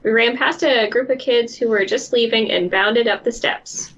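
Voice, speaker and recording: A woman's voice: fairly high pitch, normal speed, a bit bright. A woman co-hosting a podcast, reading a story aloud and chatting casually. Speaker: podcast co-host. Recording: slightly dull, lo-fi sound.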